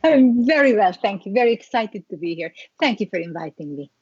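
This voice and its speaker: A woman's voice: mid-range voice, lively intonation, a little mellow, highs cut off. Hungarian-accented woman telling her story. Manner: reflective, halting with many restarts, laughs lightly. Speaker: guest, skincare founder. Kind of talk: interview storytelling.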